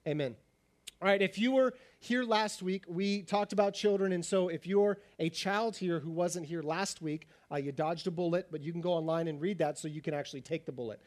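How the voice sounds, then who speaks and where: A man with a high voice delivers a sermon at a quick, energetic clip. His voice is slightly raspy. He keeps a lively, conversational tone with his congregation. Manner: fast-talking, animated preacher. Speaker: pastor.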